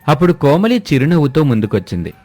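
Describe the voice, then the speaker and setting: A man's voice: on the high side, big pitch swings, fast talker, a little mellow, no room sound, faint music behind. A man narrating a scripted moral story as its storyteller.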